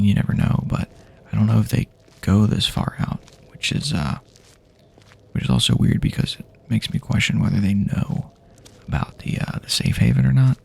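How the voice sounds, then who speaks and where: A man doing a soft-spoken, half-whispered ASMR roleplay as a wary apocalypse survivor, hesitant and rambling, often trailing off. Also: lowish voice, vocal fry, slightly rough. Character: weary, wary but reassuring male survivor of an apocalypse.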